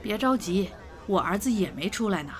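A woman's voice: mid-range voice, lively intonation, moderate pace, natural voice. A woman acting a gossipy, confiding middle-aged mother in a Chinese audio drama.

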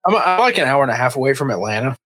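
A man in his thirties from Georgia, talking casually in a hesitant, halting way. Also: mid-range voice, very animated, pushed and bright. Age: 30s. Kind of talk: casual chat.

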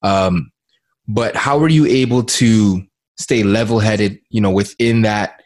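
Lower-voiced male podcast host. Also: slow pace. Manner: rambling, repeats words while framing questions. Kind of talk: podcast interview.

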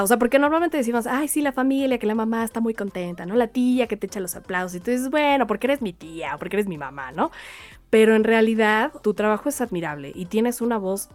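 A woman's voice: medium pitch, darkish tone. A woman with a Mexican accent hosting a podcast, chatting casually in an effusive, encouraging way. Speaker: podcast host. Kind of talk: casual chat, interviewing.

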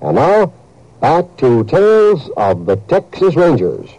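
Animated, mellow-voiced male radio announcer. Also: fairly high pitch, slightly rough, no room sound, lo-fi sound. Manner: grave, emphatic, measured. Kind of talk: scripted 1950s public service announcement.

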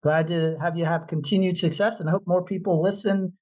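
A man's voice: sits high, fairly even intonation, fairly quick, a little mellow. A man with an American accent interviewing a guest casually as podcast host, informal, agreeable and encouraging.

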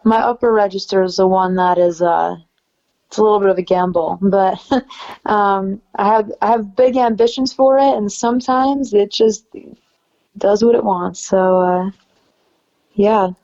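A young woman with a soft, raspy, low-leaning voice speaks in a relaxed, chatty way, full of "like" and "you know." Her tone is warm and mellow. The audio sounds a little muffled and dull. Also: unhurried. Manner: relaxed, chatty, many fillers. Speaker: singer-songwriter guest. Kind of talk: casual interview chat.

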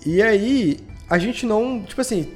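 Man, Minas Gerais Brazilian accent, fairly high voice, casual slangy storytelling, music behind. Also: lively intonation, unhurried, a little mellow. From Belo Horizonte, Brazil. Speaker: guest, audio drama podcast creator. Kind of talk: casual anecdotal chat. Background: background music.

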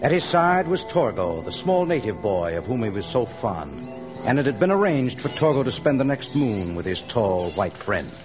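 A man's voice: mid-range voice, sing-song, moderate pace, over music. A man giving scripted dramatic narration in the manner of an old radio adventure serial. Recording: dull vintage radio transcription.

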